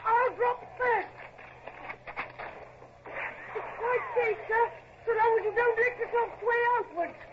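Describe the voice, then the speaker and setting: A man's voice: very high-pitched, steady tone, slow pace, long pauses. An actor playing an eager, respectful young midshipman in an old radio drama. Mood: urgent, respectful. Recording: very noisy, muffled vintage radio recording.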